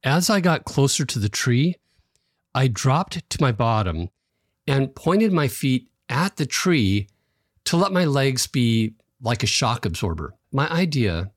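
A man with a slightly raspy voice from allergies, hosting a devotional podcast and telling a personal story in a calm, earnest way with light chuckles. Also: mid-range voice, no room sound, studio quality. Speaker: podcast host.

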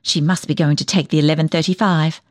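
A woman's voice: sits low, steady tone, fast, no echo, clean studio sound, close to an everyday voice. A woman playing a gossipy, self-righteous village dressmaker in a comic monologue, chatty, nosy, opinionated and tactless as she rambles from one thing to the next. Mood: nosy, puzzled. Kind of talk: comic dramatic monologue, audio storytelling.

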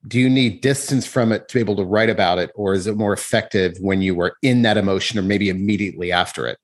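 A man with a husky voice asking questions in a casual, conversational interview style. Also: lowish voice, fast talker.

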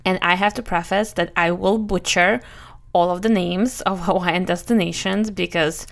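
A woman's voice: lowish voice, steady tone, moderate pace, creaky. A woman in her thirties with a Russian accent mixed with some Brooklyn, hosting a conversational, scripted solo travel podcast. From Europe, moved to the US in youth. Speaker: podcast host, family travel planner.

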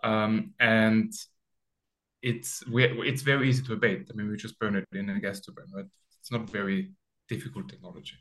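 A man's voice: fairly low pitch, level tone, normal speed, fairly bright tone, low-quality recording. A man from Austria, a founder as interview guest, explaining step by step over a remote call with many ums. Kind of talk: casual interview talk.

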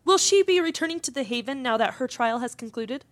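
A woman's voice: high-pitched, animated, a little fast, dry recording. A woman playing a polished, effusive and probing talk show host in a scripted drama interview.

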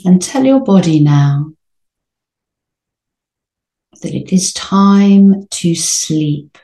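A woman leading a guided sleep meditation in a soothing, gentle, unhurried manner with long pauses. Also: on the low side, animated, roomy sound, poor audio.

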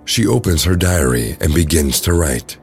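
A man's voice: very deep voice, animated, normal speed, soft background music. A man narrating an audio drama in a scripted storytelling style.

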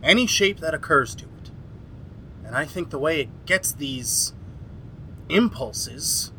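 A man's voice: fairly high pitch, animated, takes it slowly, pushed and bright, slight background noise, exaggerated voice, rough recording. A man playing an earnest, agitated scientist with a dramatic, exclamatory delivery in an old-time horror radio play. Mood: grave, urgent. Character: earnest, agitated male scientist doctor, grimly resolute. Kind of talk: 1930s-style horror radio drama acting.

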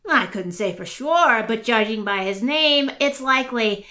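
A bold courtier woman, as an actress plays her, cutting and wry. Her voice is bright and high, and she takes her time, letting each mocking jab land slowly. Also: expressive. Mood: sardonic. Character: sharp-tongued woman courtier Marigold, sardonic and bold. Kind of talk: audio drama acting.

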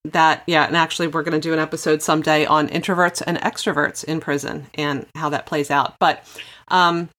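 A woman's voice: sits low, flat delivery, brisk, fairly bright tone. A woman hosting a conversational podcast interview in an encouraging, affirming way. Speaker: podcast host.

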